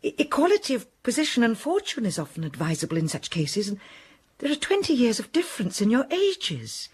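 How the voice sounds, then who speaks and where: Cautious old housekeeper, husky voice with lively rise and fall, period radio drama. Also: female voice, a little high, heavily acted. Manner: measured, cautionary. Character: elderly housekeeper woman, cautious, kindly, proper.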